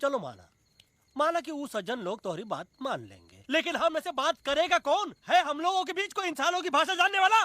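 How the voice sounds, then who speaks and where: Casting: combative cartoon monkey commander, high and piercing, rustic Bhojpuri-style accent, blustering. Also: male voice, animated, brisk, sharp tone, no room sound, full character voice. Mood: challenging. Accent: eastern Hindi heartland, Bhojpuri-Awadhi. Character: hot-headed male monkey, militant army commander. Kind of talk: animated film voice acting.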